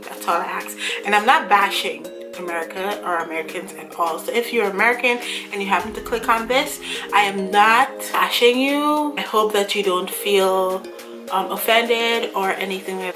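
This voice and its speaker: A woman's voice: mid-range voice, normal speed. Earnest woman vlogging. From Guyana. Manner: earnest, chatty, reads from notes. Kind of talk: casual talk to camera. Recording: music playing under voice. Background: background music.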